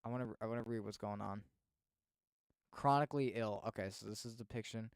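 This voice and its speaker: A man streaming casual reactions and commentary, expressive and thinking aloud as he goes. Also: on the low side, brisk, clear, resonant tone, dry recording, studio quality.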